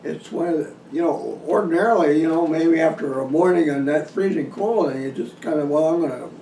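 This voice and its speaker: Folksy guy teaching hunting stuff, kinda high voice, stop and start talking, echoey and far from mic. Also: warm tone. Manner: halting, folksy, repeats and restarts phrases. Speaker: deer hunting instructor hosting a video seminar. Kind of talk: informal instructional talk. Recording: roomy echo, distant mic, some noise.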